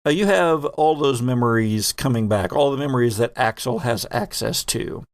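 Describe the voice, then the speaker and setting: A man hosting and game mastering a tabletop podcast, shifting between casual banter and deliberate, theatrical storytelling. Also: medium pitch, no echo. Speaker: host and game master of an actual-play podcast.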